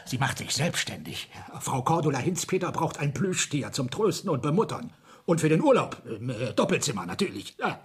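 A man's voice: fairly high pitch, sing-song, brisk. Rattled paranoid man in a radio play, stammers, voice jumping all over. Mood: agitated ranting. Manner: stammering, breathless, exclamatory. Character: agitated, suspicious man convinced of a plot against him. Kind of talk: German radio play acting.

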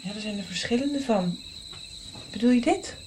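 An actress playing a caring, puzzled young granddaughter in a radio drama, speaking in a direct, questioning way. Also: female voice, a little high, animated, moderate pace, a little mellow, natural voice. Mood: curious, impatient. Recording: faint noise, night crickets. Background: chirping crickets.

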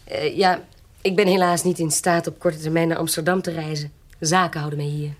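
An actress playing a resolute, scheming baroness in a period audio drama, speaking formally and deliberately. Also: female voice, deep voice, steady tone. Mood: businesslike. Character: resolute, scheming self-styled baroness, protective aunt.

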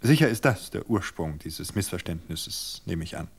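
Male reader as a tense, short-tempered husband, low voice, clipped. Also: expressive, normal speed, vocal fry, slightly rough, full character voice. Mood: guarded. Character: tense husband, guarded and quick to anger.

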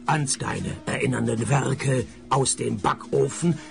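An actor playing a cynical, wisecracking male detective who narrates his case in a radio play, dry and sardonic, with a gravelly voice. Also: mid-range voice, slow, over music. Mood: deadpan narrating.

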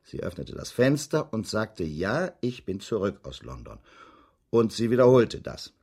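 A methodical police inspector in a radio play. His voice is low and husky, with strong rises and drops in pitch. He questions deliberately and politely, but with pointed insistence. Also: very animated, darkish tone, heavily acted. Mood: reading aloud, pointed. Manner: deliberate, insistent, theatrically emphatic. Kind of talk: radio drama acting.

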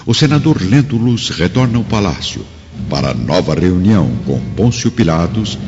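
A man's voice: low voice, big pitch swings, moderate pace. A man narrating a radio drama with solemn, emotive storytelling. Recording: muffled radio drama with loud music.

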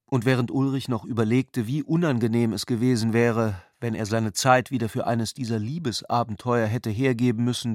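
A man reading literary narration for a radio drama in a measured, articulate way. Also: medium pitch, dry recording, clean studio sound.

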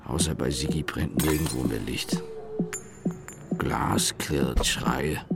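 Ein Schauspieler als verkaterter junger Mann, der trocken und in knappen Satzfetzen seine Nacht erzählt, im Hörspiel. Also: male voice, rumbling low, slow pace, gravelly, full character voice. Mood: flat reporting. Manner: clipped fragments, dry, sardonic. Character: weary hungover young man narrating his night. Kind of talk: radio drama monologue. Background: music.

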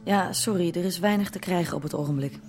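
An actress playing an eager, chatty young hippie dealer in a radio drama, informal and full of exclamations. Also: female voice, on the low side, fast, darkish tone, faint music behind, natural voice. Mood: apologetic. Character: eager, chatty young hippie woman selling soft drugs.

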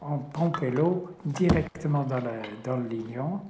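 A man explaining local history in a measured, halting way. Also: medium pitch, noisy background. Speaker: local witness to factory history. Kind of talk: explanatory talk. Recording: roomy recording with music underneath.

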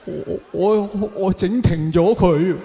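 A man's voice: high voice, slow pace, creaky, over loud music, full character voice. A man doing a stand-up act-out of a flustered, sheepish prisoner, stammering and pleading in a Hong Kong Cantonese accent. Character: flustered, sheepish male prisoner confessing an absurd crime. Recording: echoey large hall, long reverb.

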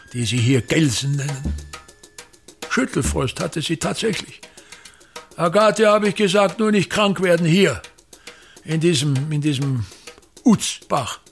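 An older man playing a pompous, embittered touring actor-manager in a radio play, declaiming grandly and with contempt in a gravelly, husky voice. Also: a little high, a bit bright, full character voice. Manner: grandiose, misanthropic, declamatory, repeating himself. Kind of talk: theatrical radio play monologue.

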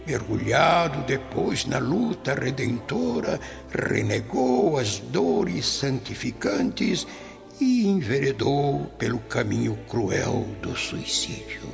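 An actor playing a tender, solemn old grandfather's spirit in a Brazilian radio drama, preaching consolation in measured phrases. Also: medium pitch, big pitch swings, gravelly, heavily acted. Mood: solemn, grave. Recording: dull lo-fi audio under music. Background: music.

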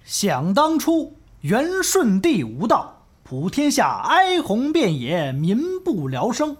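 A woman playing a sharp-tongued, teasing crosstalk partner in an audio drama, scolding and declaiming. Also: on the high side, huge pitch swings, slow pace, slightly rough, exaggerated voice. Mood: declamatory.

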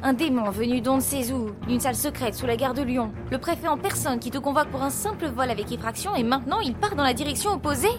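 An actress playing an earnest, determined sister and amateur investigator in an audio drama. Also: female voice, high voice, fast talker, hardly any pauses, over music, close to an everyday voice. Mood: urgent, persuasive. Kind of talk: French audio drama narration and acting.

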